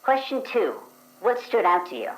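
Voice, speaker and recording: A woman playing the reader of a dream questionnaire, asking each question in a crisp, procedural way. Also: medium pitch, big pitch swings, normal speed, natural voice, poor audio. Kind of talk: actual play, reading scripted questions.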